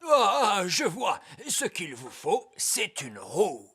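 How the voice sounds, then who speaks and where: A man's voice: high voice, huge pitch swings, slow, sharp tone, slight background noise, full character voice. A man voicing a wise, officious old male elf in a cartoon dub, explaining things emphatically. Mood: helpful, knowing. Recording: music playing behind the voice.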